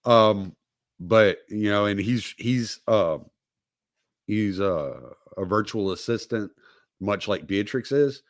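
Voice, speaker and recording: A man hosting a casual livestream, talking in a halting, rambling way with many fillers and restarts. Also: fairly low pitch, dry recording, studio quality. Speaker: podcast host.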